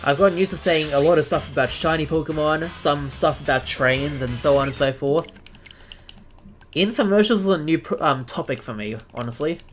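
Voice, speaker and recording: An Australian man hosting a live stream, chatting casually with viewers and often pausing to search for the right word. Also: fairly high pitch, soft background music. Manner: chatty, searches for words, self-deprecating.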